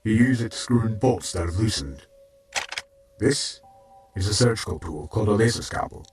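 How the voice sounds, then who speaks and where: An actor playing a patient robot mentor in an audio drama, explaining things in a rough, husky voice. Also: male voice, lowish voice, normal speed, a little mellow, soft background music, natural voice. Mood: patient, instructive. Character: patient male robot mentor teaching medical tools.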